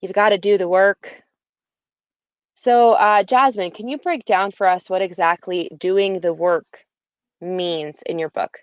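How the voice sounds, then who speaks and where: A woman's voice: medium pitch, fairly even intonation, unhurried, clear, resonant tone, no room sound. Woman host, eager and emphatic, dull lo-fi sound. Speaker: podcast host. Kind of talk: casual spiritual conversation.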